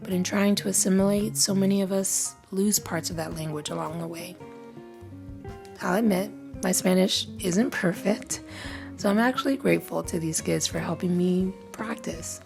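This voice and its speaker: Brief: female travel vlogger, low and a little gravelly, chatty, guitar music behind. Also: moderate pace. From Cuban family, grew up in the US. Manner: chatty, laughs easily. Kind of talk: casual vlog chat and voice-over narration.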